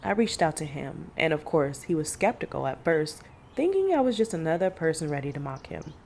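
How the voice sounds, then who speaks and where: An actor playing a middle-aged mother and monster hunter who recounts past horrors in a measured, deliberate audio drama manner. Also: deep voice, very animated, creaky, a little mellow, full character voice. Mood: reflective. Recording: faint background noise.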